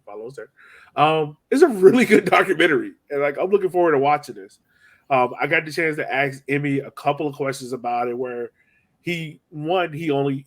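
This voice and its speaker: A man hosting a casual sports podcast, chatting informally and speaking straight to his co-hosts. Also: medium pitch. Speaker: podcast host.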